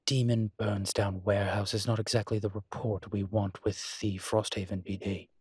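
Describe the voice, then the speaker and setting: Man playing a husky low-voiced suave incubus demon. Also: level tone, a little mellow, no room sound, clean studio sound. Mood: wry, troubled. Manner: wry, articulate, unhurried emphatic phrasing. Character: suave adult male incubus demon, proud, wry, protective of his kind. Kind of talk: roleplay audio drama, intimate conversational monologue.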